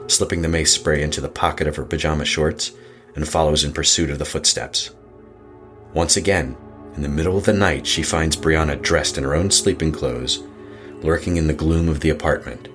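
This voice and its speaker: A man narrating a scripted horror story in a deliberate, dramatic way. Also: rumbling low, a bit bright. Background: music under the voice.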